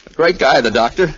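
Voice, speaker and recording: An actor playing a glib, jaunty military flyer with a husky voice in a 1940s radio drama. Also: male voice, fairly high pitch, a bit bright. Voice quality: husky, rough. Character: jaunty military flyer covering for his doomed buddy. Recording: dull old radio broadcast.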